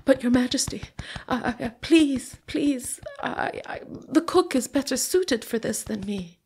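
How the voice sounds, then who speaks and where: A woman's voice: high-pitched, lively intonation, a little slow, a little mellow, slight background noise, pitched above the performer's own voice. A woman voicing a humble, nervous male subject in a folktale, deferential and hesitant before his king. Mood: frightened pleading.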